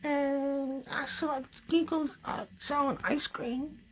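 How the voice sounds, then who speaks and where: Man doing a very high-pitched sassy girl puppet. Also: steady tone, takes it slowly, a bit bright, exaggerated voice. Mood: recounting. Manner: sassy, colloquial, complaining. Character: chatty young girl puppet, sassy and easily fed up. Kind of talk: improvised puppet show storytelling.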